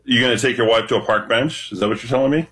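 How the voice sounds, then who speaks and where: A man with a deep voice calling into a radio show, talking confidently and animatedly. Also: racing, a bit bright, slightly rough. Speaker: radio caller. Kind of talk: casual radio talk.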